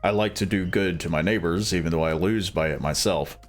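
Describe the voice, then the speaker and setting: A man reading aloud as a kindly-sounding but crafty shepherd in a fairy tale, in a calm storybook manner. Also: sits low, fairly quick, pitched below the performer's own voice, natural voice.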